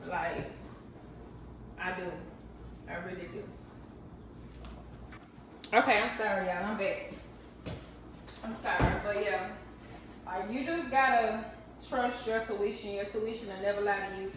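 A woman's voice: mid-range voice, fairly even intonation, a little slow, pauses often, very echoey, far from the mic, slight background noise, poor audio. A woman, chatting candidly and animatedly to her viewers while cooking in her kitchen. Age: 30s. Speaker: vlogger. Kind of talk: casual chat while cooking. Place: home kitchen.

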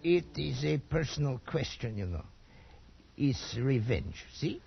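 An actor playing a nervous, slyly dismissive Portuguese trader with a Portuguese accent, a husky gravelly voice and hesitant broken English. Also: male voice, medium pitch, expressive, slow, full character voice. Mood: uneasy, insinuating. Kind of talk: 1940s radio acting. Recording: dull old radio recording.

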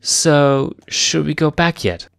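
A man's voice: expressive, slow, vocal fry, a bit bright, clean tone, dry recording, exaggerated voice. A man voicing a playful young female lion cub who teases and giggles in a raised voice. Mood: wistful. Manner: giggly, teasing, raised pitch.

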